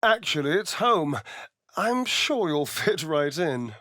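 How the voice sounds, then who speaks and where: An actor playing a suave, sardonic, preening vampire rogue in a video game, with an arch, theatrical drawl and dramatic pauses. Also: male voice, fairly high pitch, very animated, sharp tone, no room sound, studio quality, heavily acted. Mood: wry, sardonic. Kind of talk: video game character voice acting.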